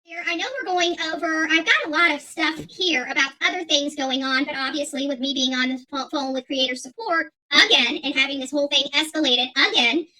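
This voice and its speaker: A woman's voice: high voice, pushed and bright, low-quality recording. A middle-aged woman giving an unscripted livestream rant as channel host, talking emphatically and combatively and repeating her phrases. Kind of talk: unscripted livestream rant, reading and answering viewer chat.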